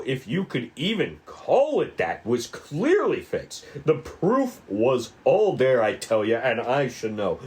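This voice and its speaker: A man's voice: mid-range voice, a little mellow. A man voicing an earnest, stubborn young detective in a noir cop drama, stammering, deferential and insistent. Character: earnest, stubborn young male detective, fawning with his boss. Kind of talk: 1940s noir cop drama table read.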